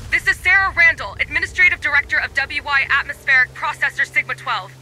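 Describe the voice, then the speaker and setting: A woman's voice: high-pitched, fast talker, pushed and bright, slight background noise, poor audio. An actress playing a desperate female administrator sending an urgent, pleading distress call in a video game.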